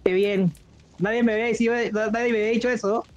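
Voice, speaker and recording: High-voiced man in voice chat. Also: steady tone, quick pace, a loud crowd. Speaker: gaming teammate. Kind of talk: casual joking game chat. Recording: dull, dry voice chat, music behind.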